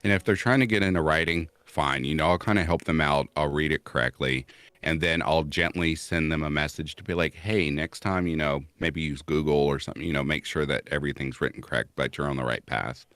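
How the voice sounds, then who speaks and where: A man's voice: very deep voice, a little fast, a bit bright, dry recording. A man from Kentucky in casual chat, reading out viewer messages and laughing easily. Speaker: stream guest reading chat.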